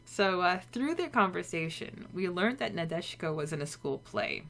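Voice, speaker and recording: A woman's voice: on the low side, big pitch swings, normal speed, gravelly, a bit bright. A woman co-hosting a podcast, casually recapping and discussing a story, often acting out bits of imagined dialogue. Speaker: podcast co-host.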